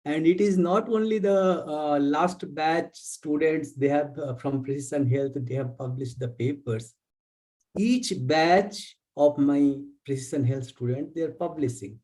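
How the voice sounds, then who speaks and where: A man with an Indian English accent presents as a guest professor in an academic webinar, choosing his phrases carefully and haltingly. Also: on the high side, a little mellow, rough recording. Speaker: visiting professor, guest lecturer.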